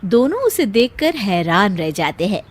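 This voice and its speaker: A woman's voice: medium pitch, sing-song, measured pace. A woman narrating a scripted cartoon story as a storyteller.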